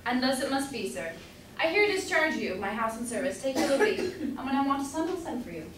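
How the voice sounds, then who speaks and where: A woman's voice: sits high, sing-song, quick pace. Woman playing a blustering merchant father, very echoey. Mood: stern, scornful. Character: stern, haughty old merchant father, blustering and scheming. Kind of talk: staged reading of period stage comedy. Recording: echoey room from far off, faint noise.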